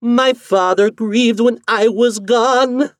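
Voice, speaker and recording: Man voicing an eerie high singsong enchanted bird. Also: huge pitch swings, a little mellow, slightly rough, no echo, clean studio sound, soft background music, heavily acted. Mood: mournful singsong. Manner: slow, drawn-out singsong verse. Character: singing enchanted bird, eerie, mournful and proud.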